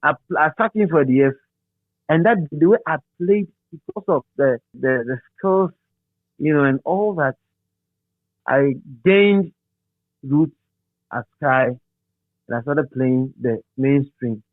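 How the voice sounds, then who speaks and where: A middle-aged Ghanaian man reminiscing as an interview guest in a halting, repetitive, animated storytelling manner. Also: fairly high pitch, no echo. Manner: halting, repeats words and phrases, animated storyteller. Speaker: interview guest, radio DJ and presenter. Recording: thin phone-like sound.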